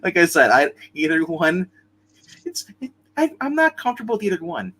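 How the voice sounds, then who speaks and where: A man's voice: sits high, normal speed. A man playing in a tabletop roleplay, chatting casually and jokily and throwing in his own sound effects. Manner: animated, jokey, adds vocal sound effects. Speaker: player in a tabletop roleplay game. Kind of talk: casual joking game chat.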